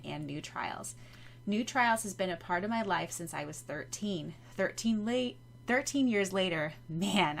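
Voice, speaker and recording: A woman's voice: on the low side, gravelly, fairly bright tone, a little hiss or hum. A woman from the United States co-hosting a podcast, chatting casually and reading out comments in an effusive, giggly manner. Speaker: podcast co-host.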